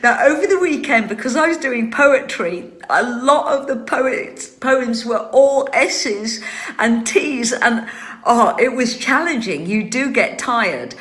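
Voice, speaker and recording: A woman's voice: fairly high pitch, expressive, slow pace, a bit bright, echoey room. A woman hosts a casual chat from home, answering viewer questions. She speaks with carefully trained, precise articulation. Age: 60s. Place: home room.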